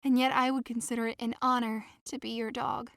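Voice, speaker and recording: Actress as lovesick young woman, pleading and earnest, highish voice, theatrical audio play, very dry recording. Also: little pitch movement, fairly quick, fairly bright tone, dry recording. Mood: pleading, devoted. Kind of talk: theatrical audio drama acting.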